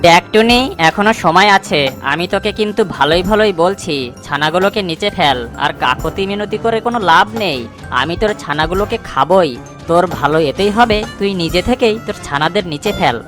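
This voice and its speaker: A woman's voice: on the low side, lively intonation, moderate pace, fairly bright tone, faint music behind. Woman playing a sly, threatening cartoon fox. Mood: menacing. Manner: threatening, taunting. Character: sly greedy bullying fox hunting chicks. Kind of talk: animated moral story voice acting.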